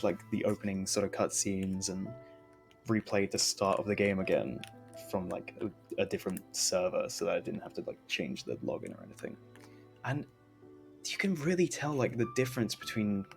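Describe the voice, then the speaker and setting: A man's voice: on the low side, a little mellow. A young man giving casual, rambling, hesitant commentary while streaming a game. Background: faint game music.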